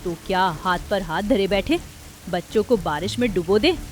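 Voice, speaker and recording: A woman's voice: medium pitch, expressive, normal speed, natural voice. A woman voicing a bold village woman who speaks with defiant earnestness for her children. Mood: earnest.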